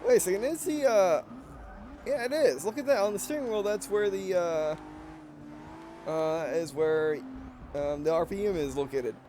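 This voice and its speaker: A man's voice: high voice, normal speed, long pauses, background noise. Rambling young-sounding man with Southern-Russian accent. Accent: mixed Southern and Russian. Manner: rambling, self-correcting, excitable reactions. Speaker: let's play gamer. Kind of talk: casual gameplay commentary.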